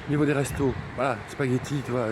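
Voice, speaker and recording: A man's voice: medium pitch, level tone, quick pace. A man vlogging a long pilgrimage walk, teasing the people he meets with joking questions. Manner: teasing, playful interviewer. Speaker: hiking vlogger. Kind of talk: joking travel vlog to camera. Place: outdoors on a coastal pilgrimage trail. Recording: loud outdoor wind noise on the mic.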